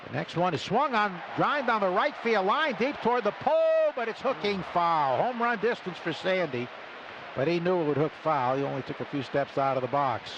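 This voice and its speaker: A man calling a baseball game play by play on live radio in clipped, deliberate phrases. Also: high voice, expressive, muffled recording. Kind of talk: live radio sports commentary with sponsor reads. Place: stadium broadcast booth. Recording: noisy live ballpark radio broadcast.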